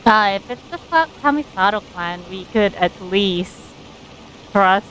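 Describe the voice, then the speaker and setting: Slow-talking, casual woman streamer. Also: medium pitch, expressive, a bit bright, a little hiss or hum, rough recording. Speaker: game streamer. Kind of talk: casual live game commentary.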